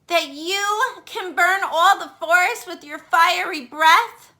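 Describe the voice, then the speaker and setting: Woman as a high, eager young storybook princess. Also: slow pace, pushed and bright, exaggerated voice. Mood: eager, challenging. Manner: eager, emphatic. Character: bold, clever young princess who flatters a dragon. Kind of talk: children's storybook read-aloud.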